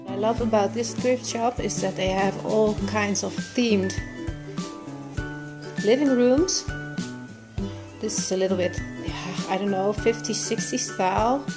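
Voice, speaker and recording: A woman's voice: mid-range voice, measured pace, long pauses. A middle-aged woman with a Dutch accent, chatting casually and a little hesitantly to a camera as a vlog host. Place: inside a car, later in a thrift shop. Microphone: camera on dashboard or at arm's length. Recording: loud background music over vlog audio. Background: music.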